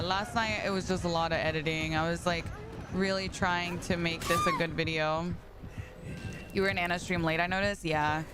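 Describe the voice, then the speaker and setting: A tired young woman chatting casually on a live stream, greeting viewers by name with lots of "like" and "you know". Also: on the low side. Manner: chatty, reads and greets chat, frequent likes. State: tired from staying up late. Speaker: livestreamer.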